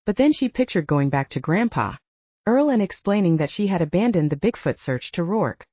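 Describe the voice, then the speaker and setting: A woman's voice: lowish voice, very animated, normal speed, mellow, dry recording, highs cut off. A woman narrating an audiobook, reading scripted romance fiction.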